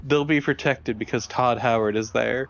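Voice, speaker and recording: A man's voice: mid-range voice, steady tone, normal speed, vocal fry, highs cut off. A man co-commentating a video game in casual, crude, teasing banter. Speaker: let's play co-commentator. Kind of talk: casual banter over gameplay.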